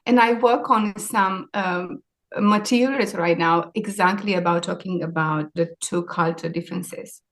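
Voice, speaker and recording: A woman's voice: on the low side, fairly even intonation, a little slow, a bit bright. A woman in her forties with a Romanian accent, answering interview questions in a reflective, hesitant way. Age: 40s. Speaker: interview guest, maritime sustainability leader.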